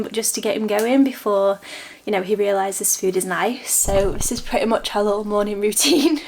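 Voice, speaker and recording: A young woman vlogging casually about her day with her cats, a little sniffly from a cold and laughing often. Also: a little high. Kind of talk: casual vlog narration.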